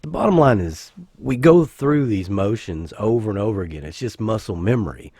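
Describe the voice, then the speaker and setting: A man, husky from the flu, rambling casually as a gaming channel host with self-corrections and joking asides. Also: fairly low pitch, lively intonation, normal speed, darkish tone, no echo, clean studio sound. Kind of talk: casual anniversary vlog talk.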